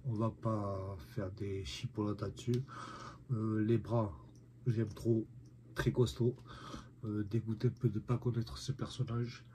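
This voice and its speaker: A man speaks low and rather flat, his nose stuffed up from a cold. He chats casually to his viewers, pausing often with fillers. A faint hiss sits under his mellow voice. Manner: hesitant, many "euh" fillers, speaks to viewers. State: nasal from a head cold. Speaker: figure collector reviewing a figurine. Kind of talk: casual unboxing vlog.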